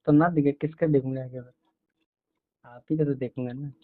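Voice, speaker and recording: Man, medium pitch, tinny phone recording, casual intimate chat, teasing, coaxing. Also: steady tone, moderate pace, no room sound. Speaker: boyfriend on a phone call. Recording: tinny, band-limited phone call recording.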